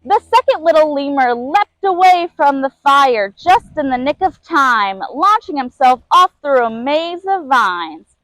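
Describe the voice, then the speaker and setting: Female storybook narrator, high-pitched, reading aloud for children, recorded dry. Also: animated, unhurried, a bit bright, no room sound, poor audio. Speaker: zoo keeper reading a story.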